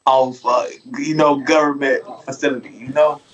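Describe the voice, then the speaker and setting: A man's voice: mid-range voice, level tone, moderate pace, muffled recording. A man who is a podcast guest, telling a long casual story in a rambling, profane way and asking "you feel me" again and again.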